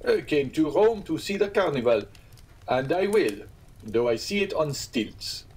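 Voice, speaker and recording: A man's voice: a little high, very animated, unhurried, darkish tone, voice pushed up. A man voicing a spirited, headstrong young aristocrat in an audiobook, speaking in an exclamatory way. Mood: indignant.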